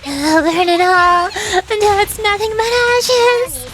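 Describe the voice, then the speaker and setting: A woman voicing an excitable, mischievous young firebug girl from a video game, bubbly and eager. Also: very high-pitched, slow pace, pitched above the performer's own voice. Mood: gleeful.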